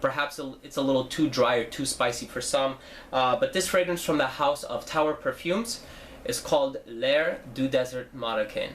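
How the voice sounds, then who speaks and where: Man, young adult, stuffy nose, casual host chatter, plenty of fillers, mid-pitched voice, slight background hiss. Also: normal speed, a bit bright. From New Jersey. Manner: chatty, with frequent fillers and self-corrections. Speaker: fragrance reviewer, channel host. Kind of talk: casual video review.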